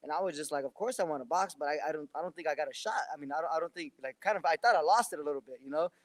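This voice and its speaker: Fast-talking young male boxer, rambling. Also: on the high side, dry recording. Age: 20s. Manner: rambling, many restarts and fillers. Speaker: professional boxer. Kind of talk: casual interview answers.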